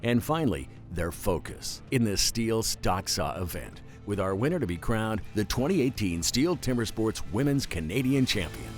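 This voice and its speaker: A man's voice: on the low side, very animated, normal speed, hardly any pauses, over music. A man narrating a sports broadcast in a scripted, explanatory way.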